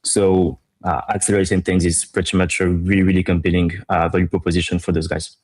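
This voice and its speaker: A man from Morocco speaking as a startup co-founder in an interview, pitching his business conversationally with emphatic repetition. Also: little pitch movement, quick pace, a little mellow, husky.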